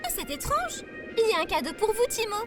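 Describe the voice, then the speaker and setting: A woman playing a caring, innocent companion in a French audio drama, polite, soothing and expressive. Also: very high voice, a little fast, music in the background. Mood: delighted. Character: caring, innocent female companion, brightly enthusiastic. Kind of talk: scripted French audio fiction acting.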